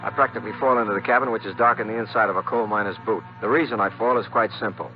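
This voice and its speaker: Man playing a cocky low-voiced American wisecracker, old radio. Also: steady tone, very fast, close to an everyday voice. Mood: hardboiled, wry. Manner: breezy, slangy, cocky. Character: wisecracking, flirtatious drifter working as ship's steward. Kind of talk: 1950s radio drama acting, hardboiled first-person narration. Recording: dull old 1950s radio recording with music.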